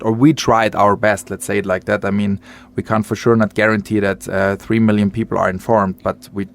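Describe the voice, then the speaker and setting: Low-voiced man explaining in an interview. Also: vocal fry. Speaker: interviewed artist. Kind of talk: casual radio interview. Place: radio studio.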